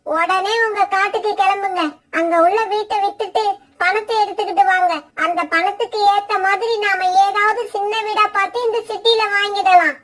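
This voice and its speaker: A woman's voice: very high voice, fairly even intonation, normal speed, a bit bright. A woman voicing a young female cartoon bird, an insistent, bossy and wheedling daughter and wife. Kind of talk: children's cartoon voice acting.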